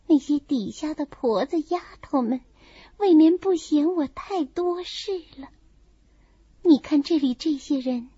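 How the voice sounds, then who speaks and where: A woman acts out a frail noble girl in her teens, sensitive and melancholy. Her voice is very high and bright, confiding in a slow, plaintive way, as in an audiobook drama. The audio is a little dull. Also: no room sound. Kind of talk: dramatised audiobook reading of a classical novel.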